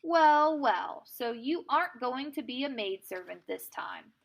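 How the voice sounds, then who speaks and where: A woman's voice: high voice, animated, unhurried, vocal fry, heavily acted. A woman voicing a mischievous, carefree little girl in a storybook, playful and exclamatory. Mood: argumentative, cheeky.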